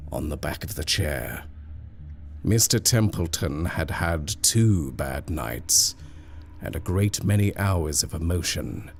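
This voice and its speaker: A man narrating a scripted horror story in a measured, hushed, deliberate way. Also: sits low, a little hiss or hum.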